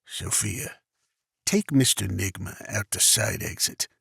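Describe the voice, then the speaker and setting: Husky, gravelly old mob boss. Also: male voice, lowish voice, expressive, dry recording, studio quality, full character voice. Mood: commanding. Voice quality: hoarse, gravelly rasp. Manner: slow, deliberate, quietly threatening. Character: menacing male crime boss, ageing patriarch. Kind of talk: scripted motion comic acting.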